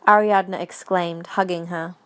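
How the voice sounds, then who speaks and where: A woman's voice: on the low side, fairly even intonation, moderate pace, clean tone. A woman reading scripted story narration for an audio drama.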